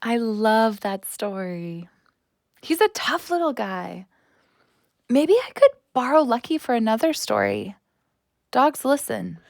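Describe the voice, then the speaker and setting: An earnest young woman with a wounded streak played by an actress in an audio play. The voice is fairly high and slow, friendly and confiding, very dry and close. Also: sing-song, vocal fry, no room sound, exaggerated voice. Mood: friendly, gentle. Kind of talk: audio drama acting.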